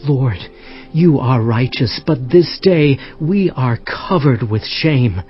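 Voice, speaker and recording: An earnest prophet recounts scripture in a solemn, expressive way at a medium pitch. The recording is a bit dull, with music in the background. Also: male voice, takes it slowly. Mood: penitent, earnest. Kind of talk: dramatized scripture narration.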